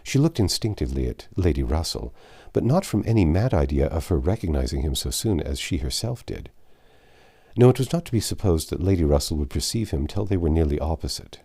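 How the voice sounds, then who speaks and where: A man with a deep voice narrates a classic novel. His tone is dark and mellow, and his intonation moves expressively through the scripted prose as an audiobook narrator. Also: sing-song, brisk, husky.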